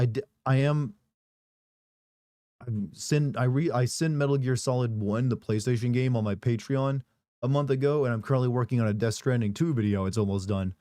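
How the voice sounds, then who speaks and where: Hoarse man chatting on a stream. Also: mid-range voice, mellow, no room sound, studio quality. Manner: rambling, casual, joking asides. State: hoarse, recovering voice. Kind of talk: live stream chat, reading viewer messages.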